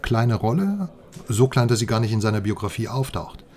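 A man's voice: lowish voice, sing-song, moderate pace, a little mellow. An actor playing a chatty, eager sidekick in a radio crime drama, colloquial and proud of what he has found out. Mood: eager, gossipy.

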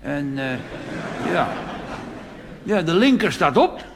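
A man's voice: fairly high pitch, big pitch swings, slow pace, gravelly, noisy background, heavily acted. A man playing a cheeky, half-deaf old street-organ grinder in a rambling, mumbling cabaret monologue. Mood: deadpan mischief. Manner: rambling, hesitant, mumbled asides.

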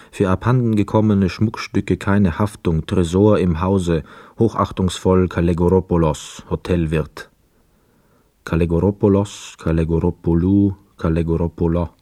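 An actor playing a reflective, weary homecoming soldier in a radio play, with measured, lyrical phrasing. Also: male voice, low-pitched, mellow, dry recording, clean studio sound. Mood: musing. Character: weary young war returnee, reflective, dreamy wanderer. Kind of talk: literary radio play monologue and dialogue.

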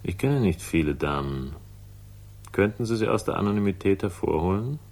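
Low, gravelly hard-boiled investigator. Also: male voice, animated, moderate pace, a little mellow. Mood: sardonic. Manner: dry, sardonic, curt. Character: cynical hard-boiled male investigator, wry and brusque. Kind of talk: 1960s German radio crime drama acting with narration.

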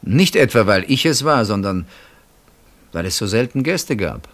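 Deep-voiced, brooding middle-aged husband. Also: male voice, very animated, a bit bright, heavily acted. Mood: reflective. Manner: hesitant pauses, deliberate reflective phrasing. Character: middle-aged husband Pierre, brooding, casual, wryly reflective. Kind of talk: radio play acting, intimate dialogue.

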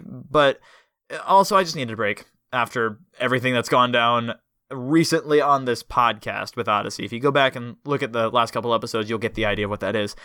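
A man's voice: medium pitch, sharp tone, clear, resonant tone, no room sound, clean studio sound. A young man hosting a podcast, chatting casually with enthusiasm and a rambling flow. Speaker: podcast host.

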